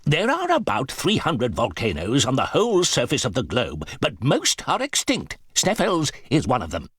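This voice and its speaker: Excitable, commanding professor, swooping pitch. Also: male voice, moderate pace, slightly rough, dry recording, clean studio sound, heavily acted. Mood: lecturing, assured. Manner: emphatic, declamatory, pompous. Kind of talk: audiobook drama acting.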